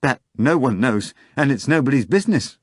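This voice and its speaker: A man's voice: fairly low pitch, slow pace, darkish tone, dry recording, studio quality. A man voicing a timid, flustered middle-aged bartender in an audiobook reading. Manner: flustered, hesitant.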